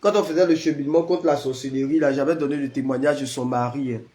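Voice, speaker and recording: A man's voice: medium pitch, fairly even intonation, fast talker, mellow. A man with the flu, a prayer leader chatting casually with live-stream viewers and greeting them by name. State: has the flu.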